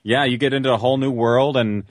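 Low-pitched man chatting as a guest. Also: fairly even intonation, fast talker, dry recording, studio quality. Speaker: interview guest, audio drama creator. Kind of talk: casual conversational interview.

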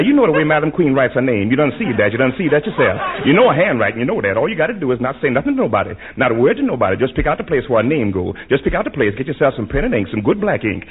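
An actor playing an overconfident, chattering legal fixer who repeats every phrase in a husky voice, in old-time radio comedy style. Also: male voice, mid-range voice. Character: motor-mouthed, overconfident amateur legal fixer. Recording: muffled 1940s-50s radio recording.

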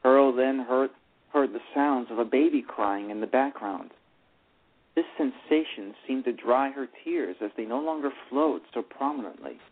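A man's voice: mid-range voice, moderate pace. A man narrating a live radio play, often stumbling and restarting his words. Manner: reads with frequent stumbles and restarts. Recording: tinny phone-line radio broadcast.

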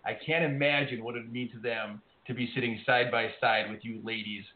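A man's voice: medium pitch, fairly even intonation, a little fast, a little hiss or hum. A man hosting a call-in radio show, upbeat and steering it from caller to caller. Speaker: radio host. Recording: roomy, phone-like sound.

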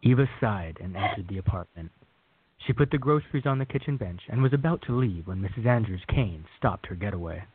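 A man reading scripted narration for a radio drama, with a husky voice. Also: lowish voice, expressive, brisk. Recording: dull lo-fi recording.

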